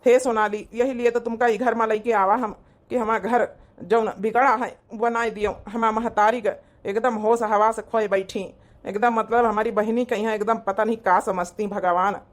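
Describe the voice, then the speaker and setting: Voice actor as young cartoon village man, high for a male, Awadhi accent, earnest, caring, protective. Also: steady tone, normal speed, close to an everyday voice.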